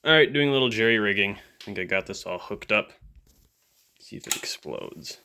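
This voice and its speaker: A man's voice: on the low side, expressive, moderate pace, pushed and bright, clear, resonant tone. A man giving casual hands-on repair commentary in an offhand, dryly joking way. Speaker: electronics restoration video host.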